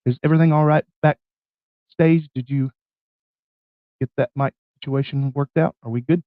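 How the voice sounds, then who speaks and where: A man's voice: mid-range voice, fairly even intonation, moderate pace, no room sound, narrow, tinny audio. Scratchy-voiced man hosting a live stream. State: bronchitis, scratchy voice. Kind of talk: casual live stream chat.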